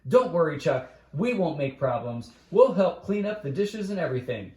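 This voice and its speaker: Brash, chatty storybook girl played by a male reader, breezy and pushy, raised pitch, roomy echo. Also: big pitch swings, normal speed, a little mellow. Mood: upbeat, pushy. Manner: chatty, breezy, talks over others.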